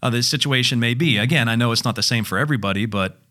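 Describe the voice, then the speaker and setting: A man speaking in an easygoing podcast conversation at an average pitch. He rambles, restarts his sentences and fills the gaps with "you know" and "um" as he works his way toward each point. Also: quick pace, pushed and bright. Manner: rambling, full of restarts and fillers. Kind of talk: casual chat.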